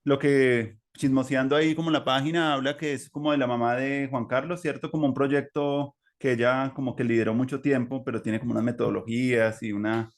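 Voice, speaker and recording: Male podcast interviewer, Colombian accent, medium pitch, informal and rambling conversational delivery. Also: steady tone, a little fast, hardly any pauses. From Manizales, Colombia. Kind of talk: casual chat.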